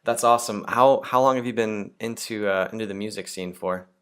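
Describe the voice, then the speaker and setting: Lower-voiced upbeat male podcast host. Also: brisk, a bit bright, clear, resonant tone. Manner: upbeat, chatty storyteller. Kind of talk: casual podcast interview.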